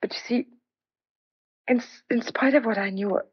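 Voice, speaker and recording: A dry, muffled radio drama recording of a soft-hearted, anxious young woman. Her voice is fairly high and breathy, and she stammers and hesitates with feeling. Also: moderate pace, no room sound. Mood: shy, relieved. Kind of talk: old-fashioned radio thriller acting.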